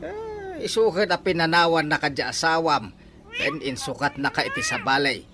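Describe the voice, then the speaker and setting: A man's voice: a little high, wildly swooping pitch, normal speed, noisy background. A male actor playing a fussy, exasperated old rural father in a radio drama, scolding and exclaiming with an Ilocano accent. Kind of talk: Filipino radio drama acting.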